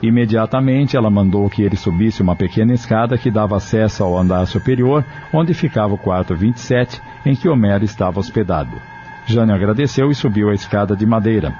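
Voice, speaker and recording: A man's voice: lowish voice, fairly quick, warm tone, slight background noise. A man reading scripted narration for an audio novel. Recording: dull lo-fi radio drama sound.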